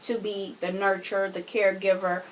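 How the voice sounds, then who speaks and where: A woman's voice: mid-range voice, little pitch movement, moderate pace, slight background noise, rough recording. A woman co-hosting a podcast, giving her opinions in casual discussion. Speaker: podcast co-host.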